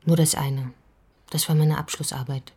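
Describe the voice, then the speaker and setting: A tired writer lady in a radio play, low husky voice, almost whispering, very dry close mic. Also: steady tone, normal speed, no echo. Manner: hushed, near-whispered inner monologue. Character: weary, searching woman writer turned inward. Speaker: main character and narrator of a radio drama. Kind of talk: radio drama with inner monologue.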